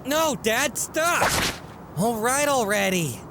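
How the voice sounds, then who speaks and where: A man playing a guilt-ridden, defensive teenage son in a comic dub, hesitant and evasive, halting as he talks. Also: extremely high pitch, sing-song, background noise, heavily acted. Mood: exasperated. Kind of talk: amateur comic dub voice acting.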